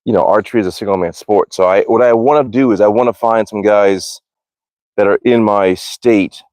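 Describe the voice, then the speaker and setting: A man's voice: lowish voice, normal speed, a little mellow, no room sound. An American man chatting casually on a livestream, rambling along in an informal, slangy way. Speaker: livestream host, hobby archer. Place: outdoors at a backyard archery range.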